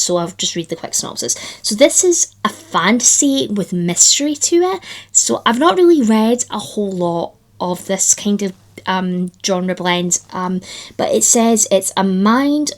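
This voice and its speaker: A woman vlogging about books in a chatty, enthusiastic way, her voice still slightly croaky after the flu. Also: mid-range voice. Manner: chatty, enthusiastic, lots of fillers. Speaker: book vlogger. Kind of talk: casual chat to camera.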